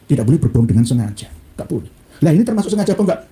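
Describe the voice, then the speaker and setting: Male cleric, Javanese Indonesian accent, quick and mellow-toned. Satirical, informal lecturing with rhetorical questions. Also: on the high side, very animated. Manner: satirical, uses rhetorical questions, mixes Arabic quotes. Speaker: Islamic cleric giving a lecture. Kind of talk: informal religious lecture reading and critiquing a book.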